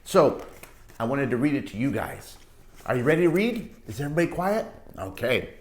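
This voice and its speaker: A woman's voice: very deep voice, very animated, moderate pace, darkish tone. A woman reading a picture book aloud to children as a storytime teacher, playful and dramatic, with chatty asides. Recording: roomy echo.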